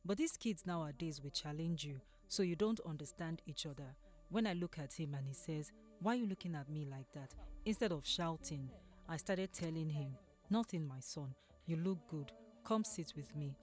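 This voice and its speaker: A woman's voice: low-pitched, moderate pace, a little mellow, soft background music. A woman from Somalia, a mother giving a reflective personal account. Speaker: mother interviewed. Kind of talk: recorded testimony.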